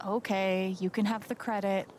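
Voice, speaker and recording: An actress playing a wry, gentle young woman joining in a fantasy quest in a video game. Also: medium pitch, little pitch movement, normal speed, slight background noise, lightly acted, poor audio. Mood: playful.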